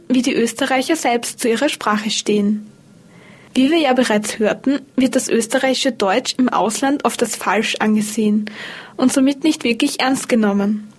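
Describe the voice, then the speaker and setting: Husky-voiced woman presenting a radio feature. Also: high-pitched. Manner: measured, informative delivery. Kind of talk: scripted radio feature narration.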